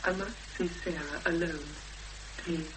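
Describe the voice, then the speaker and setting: A woman's voice: fairly low pitch, fairly even intonation, unhurried, close to an everyday voice. Actress as a tense young woman, noisy recording. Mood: distressed, insistent. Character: distressed, determined young woman seeking her sister. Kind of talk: 1970s radio drama acting and first-person narration. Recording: noisy old radio broadcast with hum.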